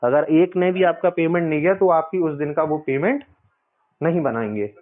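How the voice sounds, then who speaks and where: Male caller, slightly high-pitched, explains insistently and circles back over points, narrow phone-line sound. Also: moderate pace. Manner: insistent, explanatory, repeats himself. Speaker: caller, loan customer. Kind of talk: casual phone conversation. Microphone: phone call.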